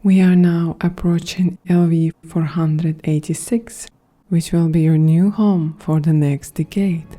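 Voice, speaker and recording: A woman voicing a calm, quietly grandiose female android in a breathy, half-whispered, soft-spoken ASMR roleplay. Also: low-pitched. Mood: soothing, welcoming. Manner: slow, measured, solemn delivery.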